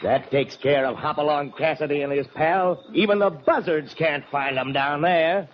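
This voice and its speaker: An actor playing a scheming, gloating outlaw boss in an old Western radio drama, with menacing cowboy talk. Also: male voice, a little high, moderate pace, lightly acted. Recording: muffled old radio recording, faint noise.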